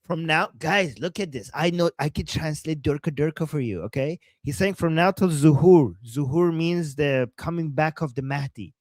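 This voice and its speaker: Man, Persian accent, live-stream co-host, animated and laughing, slightly high voice. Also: normal speed, hardly any pauses, dry recording. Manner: animated, jokey, laughs often, reacts to chat. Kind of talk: casual live-stream commentary.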